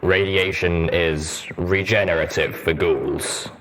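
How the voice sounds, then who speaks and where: A man voicing a gruff armoured ghoul pony soldier, stern, terse and deliberate. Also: deep voice, fairly even intonation, a bit bright. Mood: grudging admission.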